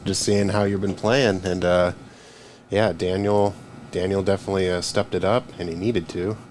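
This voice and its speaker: A man's voice: low-pitched, flat delivery, normal speed, darkish tone, a little hiss or hum. A young man commentating on a pool tournament from the booth, easygoing and asking questions with frequent "uh" fillers. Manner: easygoing, asks questions and fills with "uh". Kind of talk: live sports commentary.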